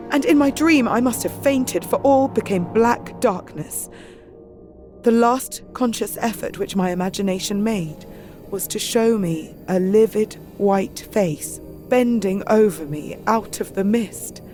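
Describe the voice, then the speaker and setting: Actress for anxious young Victorian woman, British, mid-pitched, intimate diary monologue in an audio drama, music underneath. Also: lightly acted.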